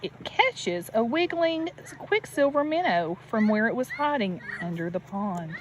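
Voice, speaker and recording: Slow-paced woman reading aloud to children outdoors. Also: fairly high pitch. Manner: deliberate, expressive, asks the listeners questions. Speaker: elementary school teacher reading aloud. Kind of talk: picture book read-aloud with asides to children. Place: outdoors beside a natural pond. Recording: steady outdoor background noise. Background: birds and outdoor ambience.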